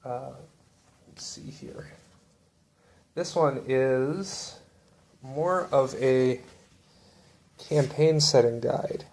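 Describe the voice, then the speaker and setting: A man showing off books from his shelf in a relaxed, rambling way with lots of fillers. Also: mid-range voice, drawn-out words, long pauses. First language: English. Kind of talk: casual show-and-tell.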